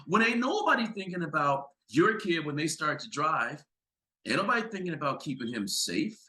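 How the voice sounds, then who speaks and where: A man with a deep voice and an American accent, a psychologist speaking as a podcast guest, talking with emphatic, building intensity. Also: very animated, normal speed, pushed and bright. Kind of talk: impassioned conversational talk.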